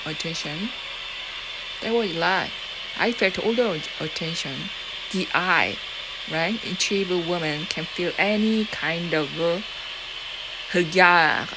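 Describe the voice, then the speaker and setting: A woman with a Korean accent talking straight to her viewers in a rambling, defiant way, swearing and full of fillers and restarts. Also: on the low side. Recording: loud steady background noise.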